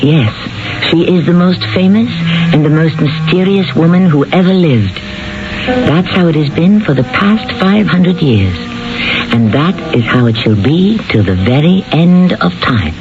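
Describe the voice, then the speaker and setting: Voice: medium pitch, animated, a little slow. A host delivering dramatic scripted narration for a radio mystery play. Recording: dull vintage radio broadcast with music.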